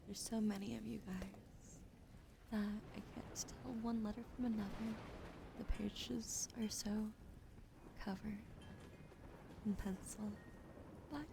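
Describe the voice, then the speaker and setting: A woman livestreaming a soft-spoken ASMR card reading, often slipping into a whisper, chatty and affectionate with her viewers, close to a desk mic. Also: medium pitch, fairly even intonation, takes it slowly, vocal fry, long pauses, background noise. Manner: soft, gentle, partly whispered delivery. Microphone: close desk microphone.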